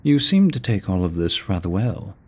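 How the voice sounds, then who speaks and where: A man's voice: deep voice, very animated, slightly rough, full character voice. A man voicing a seductive, courteous immortal incubus demon in a roleplay audio drama, speaking to the listener in a silky, theatrical way. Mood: amused, matter-of-fact. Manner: slow, silky, theatrical, courteous with drawn-out words. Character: seductive, teasing male incubus demon, immortal deal-maker.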